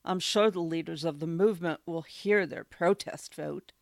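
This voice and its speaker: A woman's voice: lowish voice, normal speed, gravelly, dry recording, studio quality. A woman playing an everyday woman in a satirical radio drama. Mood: earnest.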